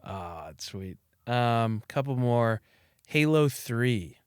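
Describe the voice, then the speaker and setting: A man hosting a casual interview, upbeat and enthusiastic with quick reactions. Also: mid-range voice, lively intonation, smooth, no room sound, clean studio sound. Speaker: podcast host.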